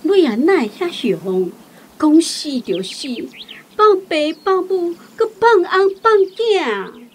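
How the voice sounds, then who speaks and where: An actress with a Taiwanese accent playing a judgmental, gossiping village neighbour in a radio drama, with a husky voice. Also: female voice, very high voice, very animated, slow, a little hiss or hum, heavily acted. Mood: disapproving.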